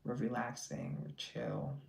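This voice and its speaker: Teasing man with a gravelly voice. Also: medium pitch, very animated, unhurried, darkish tone. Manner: teasing, joking, chatty. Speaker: live-stream host. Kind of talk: casual live chat, reading viewer comments.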